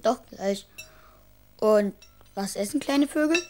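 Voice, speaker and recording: A woman's voice: mid-range voice, takes it slowly, darkish tone, lightly acted. A woman playing an earnest, curious young schoolboy in a children's radio drama, thinking aloud in a childlike way. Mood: thoughtful, determined. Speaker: actor in a radio play.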